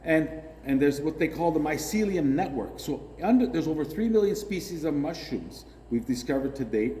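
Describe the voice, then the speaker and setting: A man's voice: fairly high pitch, expressive, moderate pace, warm tone. A man chatting enthusiastically as a guest, telling long stories with many tangents. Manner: enthusiastic, tangent-prone storyteller. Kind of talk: casual chat. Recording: long hall reverb, faint noise.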